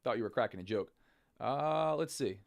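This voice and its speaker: A hoarse man with a raspy smoker's voice, chatting casually to viewers as the host of a livestream, with an informal, buddy-like way of explaining things. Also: medium pitch, animated, normal speed, dry recording, studio quality. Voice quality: raspy smoker's voice, partly lost. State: hoarse, voice half gone. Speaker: host of a live trading stream.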